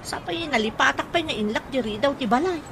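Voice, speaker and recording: A woman performs a complaining village wife in an Ilocano radio comedy, her voice fairly high. She scolds and grumbles with an Ilocano accent. Clear ambient noise sits behind her. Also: expressive, fast talker. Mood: grumbling. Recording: noisy radio drama with ambience.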